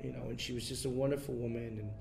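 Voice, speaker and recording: A man being interviewed, talking in a relaxed way with many fillers and restarts. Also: medium pitch, very fast, gravelly, a little hiss or hum. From United States. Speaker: interview guest, son of a famous bandleader. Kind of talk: casual interview.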